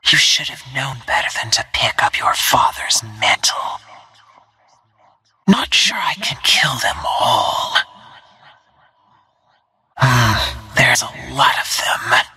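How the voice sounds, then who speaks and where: A stealthy killer murmuring slowly at her target's ear in a video game, husky, breathy and icily menacing. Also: a bass voice, in a whisper, heavily acted. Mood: quietly menacing, then calculating. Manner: slow, hushed, controlled, threatening. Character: cold, menacing female assassin who stalks her targets. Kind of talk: scripted video game character barks.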